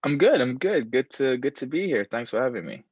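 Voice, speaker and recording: A man's voice: mid-range voice, big pitch swings, fairly quick, slightly rough, no room sound. A man in his twenties from Guyana, talking casually as an interview guest, repeating himself with many fillers. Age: 20s. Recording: dull lo-fi sound.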